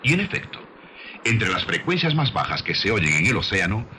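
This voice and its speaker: A man narrating a scripted science documentary in a measured, formal, didactic manner on an old soundtrack. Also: fairly low pitch, very animated, background noise.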